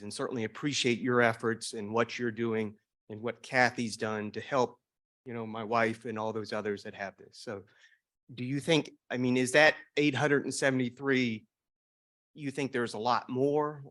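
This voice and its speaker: A man's voice: lowish voice, fairly bright tone, no echo. Rambling man asking from the floor. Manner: grateful, rambling. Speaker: audience member asking a question. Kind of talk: question from the floor.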